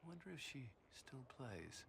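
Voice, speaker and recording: An actor playing an earnest, reserved military commander with dry humour and a measured, formal manner in a video game. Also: male voice, mid-range voice, very animated, creaky, a bit bright, a little hiss or hum. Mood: relaxed, fond.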